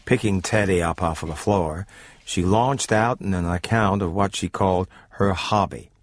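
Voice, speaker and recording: A man narrating a scripted radio story as presenter, in a dry, measured manner. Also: low-pitched, dry recording.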